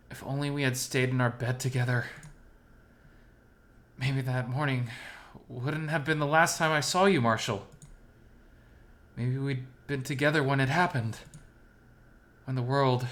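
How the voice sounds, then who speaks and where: A man narrating a first-person visual novel story in an expressive, scripted reading style. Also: medium pitch, normal speed, long pauses.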